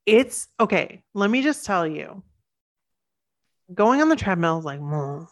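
A woman in her thirties co-hosting a podcast, chatting eagerly with quick asides, sniffly from the cold. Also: medium pitch, very animated. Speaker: podcast co-host. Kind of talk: casual chat.

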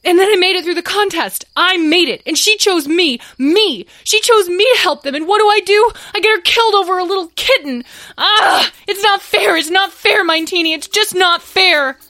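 Woman playing a young stable boy, higher voice, stammery, close dry recording. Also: expressive, moderate pace, darkish tone, dry recording, close to an everyday voice. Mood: despairing. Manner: tearful, stammering. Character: earnest young stable boy, kind-hearted and self-doubting. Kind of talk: storybook character voice.

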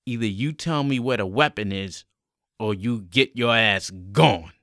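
A man's voice: fairly low pitch, measured pace, a bit bright, dry recording, studio quality. A man voicing a hostile police officer in a dramatic story, barking threats and commands. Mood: threatening.

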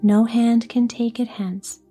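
A woman voicing a gentle, kindly little female fairy in a soft whisper. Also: fairly high pitch, steady tone, slow pace, husky, soft background music. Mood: tender.

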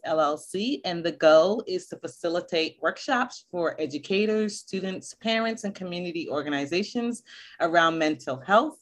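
A middle-aged woman school counselor, born in Barbados and raised in Boston, speaking in an earnest, measured way as a podcast guest. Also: fairly low pitch. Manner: earnest, measured, listing points. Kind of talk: podcast interview.

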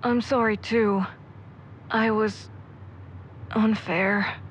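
A woman's voice: mid-range voice, steady tone, extremely slow, slight background noise. A woman playing an earnest, hesitant young male pre-med student in a naturalistic audio drama. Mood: apologetic, earnest.

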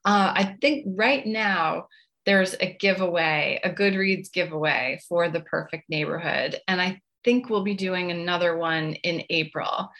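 Lower-pitched woman author. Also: fairly bright tone. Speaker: guest author. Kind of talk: casual interview chat, then reading own writing aloud.

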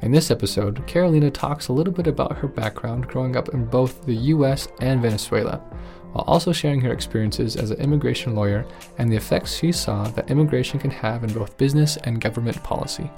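A man's voice: medium pitch, a little mellow. Man announcing over faint music. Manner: measured, announcing. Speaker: podcast narrator. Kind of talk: scripted intro.

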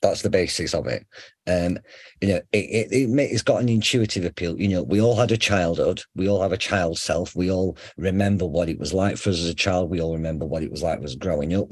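A middle-aged man with a faint Sheffield accent, a psychotherapist explaining ideas in a relaxed interview, full of examples, fillers and restarts. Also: sits low, breathy edge, no room sound, clean studio sound. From England. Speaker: psychotherapist guest.

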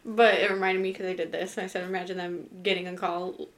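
A woman's voice: on the low side, level tone, quick pace. A woman in her twenties chatting casually as a podcast co-host, rambling and slangy, with frequent swearing. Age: 20s. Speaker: true crime podcast co-host. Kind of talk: casual chat.

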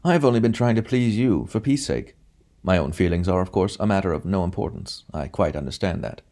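A man voicing a pompous, self-pitying gentleman in an audiobook, speaking with wounded dignity. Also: deep voice, expressive, a little fast. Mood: huffy dignified. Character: pompous martyred middle-aged man.

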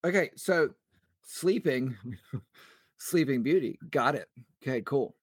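A man's voice: mid-range voice, lively intonation, slow, husky, dry recording. A man co-hosting a podcast in casual banter, wisecracking with dry sarcasm. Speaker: podcast co-host.

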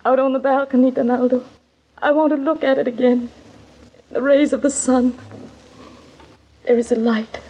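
A woman's voice: high-pitched, fairly even intonation, moderate pace, pauses often, mellow, breathy edge. An actress playing an entranced, demanding noblewoman with insistent, dramatic 1940s radio acting. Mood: feverish, entranced. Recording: dull, hissy vintage radio recording.

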